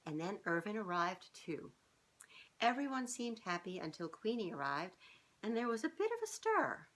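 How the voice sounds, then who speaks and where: A woman's voice: mid-range voice, very animated, moderate pace, a bit bright, poor audio. A middle-aged woman reading a children's storybook aloud, speaking expressively and directly to young listeners. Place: small furnished living room, indoors.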